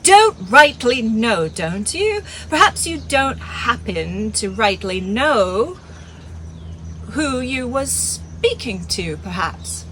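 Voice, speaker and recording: A woman reading an audiobook, voicing a crafty, blustering one-legged male pirate cook with loud sea-dog talk. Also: medium pitch, expressive, a little slow, slight background noise.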